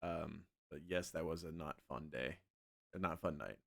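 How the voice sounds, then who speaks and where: A young man streaming a game and chatting loosely with his viewers, his voice a little scratchy from yelling the night before. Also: extremely low pitch, normal speed, creaky. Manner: relaxed, rambling, talks to chat. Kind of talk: casual livestream chat.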